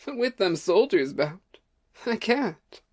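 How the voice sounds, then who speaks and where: A woman's voice: mid-range voice, big pitch swings, takes it slowly, a little mellow, slightly rough, heavily acted. A woman playing an overworked, nervous, complaining farm wife in an amateur audio play, with folksy rustic speech. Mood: weary, complaining. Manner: folksy rustic dialect, fretful.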